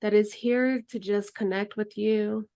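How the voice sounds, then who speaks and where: A woman teaching an herbalism class in a relaxed, chatty way, then leading a guided meditation. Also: mid-range voice, measured pace. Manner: relaxed, chatty, laughs easily.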